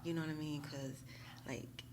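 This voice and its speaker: An American woman talking casually and emphatically to her viewers in a slangy, animated storytime style, often checking in with them. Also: deep voice, normal speed, noisy background. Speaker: YouTube tarot reader.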